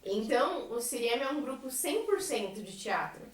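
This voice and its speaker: A woman's voice: a little high, very animated, moderate pace. A young Brazilian woman in casual podcast conversation, explaining things with frequent conversational tags. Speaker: member of a science theatre group. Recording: echoey room, distant from microphone.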